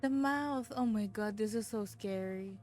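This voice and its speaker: Female game streamer, expressive visual novel reader, unhurried, mid-range voice, faint music behind. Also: mid-range voice. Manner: theatrical, expressive. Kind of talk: dramatic reading of visual novel narration with casual reactions.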